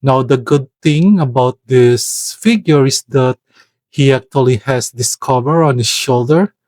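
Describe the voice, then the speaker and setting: Male toy reviewer, mid-pitched, unhurried and careful, stops to hunt for words; dry, close sound. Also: darkish tone, no echo. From Philippines. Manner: hesitant, searching for words, careful. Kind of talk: product review voice-over.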